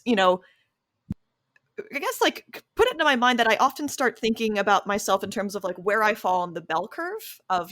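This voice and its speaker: A woman's voice: mid-range voice, fairly bright tone, no room sound, studio quality. An American woman chatting casually in an interview, thinking aloud in a hesitant, self-reflective way. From Delaware County, United States. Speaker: interviewee and interviewer, podcast cast member.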